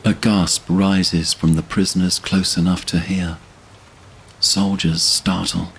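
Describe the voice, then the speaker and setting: A man narrating a literary fantasy audio drama in a solemn, measured, dramatic way. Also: sits low, little pitch movement, vocal fry, fairly bright tone, a little hiss or hum.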